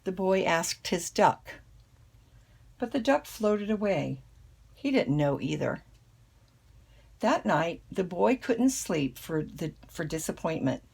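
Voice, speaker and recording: Creaky, husky older woman reading a storybook. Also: lowish voice, very animated, slow pace, long pauses, a little mellow. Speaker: grandmother reading a picture book. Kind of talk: children's storybook read-aloud.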